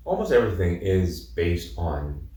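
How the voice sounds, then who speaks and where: A man directing a film, speaking softly and reflectively with many fillers in a casual interview. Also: low voice, very animated, a little slow. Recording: echoey room, distant mic, faint noise.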